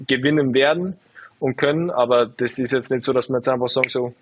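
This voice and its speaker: A man in his twenties, a footballer, answering interview questions in a relaxed, halting way full of fillers. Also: medium pitch, a little hiss or hum, rough recording. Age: 20s. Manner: hesitant, lots of fillers, relaxed. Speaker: footballer guest.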